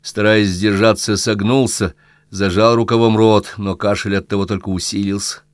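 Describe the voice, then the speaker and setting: A man reads a literary audiobook in a fairly low voice. The recording is dry, with very little room sound. He delivers scripted prose as a narrator telling a story. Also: flat delivery, normal speed, no echo.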